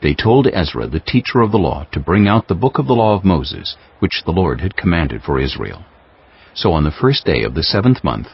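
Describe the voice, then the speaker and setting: A man narrating scripture for an audiobook in a measured, formal manner. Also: low voice, slightly rough. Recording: dull lo-fi audio under prominent music.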